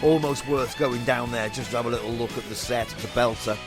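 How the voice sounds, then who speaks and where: A man's voice: medium pitch, fairly even intonation, fairly quick. A man presenting and interviewing on local radio in a chatty, enthusiastic, effusive way. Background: music.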